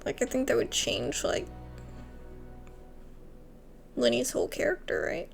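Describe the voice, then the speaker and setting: A woman doing casual gameplay commentary, expressive and reactive, sometimes reading dialogue aloud. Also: high voice, a little fast, vocal fry, faint music behind. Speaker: gaming video creator.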